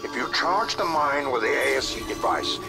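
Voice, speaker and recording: An actor playing an authoritative male commander giving formal, measured mission briefings in a video game. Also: mid-range voice, expressive, vocal fry. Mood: measured, serious. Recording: loud music under the voice.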